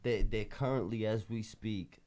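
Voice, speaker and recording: A man's voice: fairly low pitch, fairly even intonation, a little slow, darkish tone. A man with a Boston accent, a podcast host talking casually in street slang with an emphatic, rambling flow. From Boston area, Massachusetts.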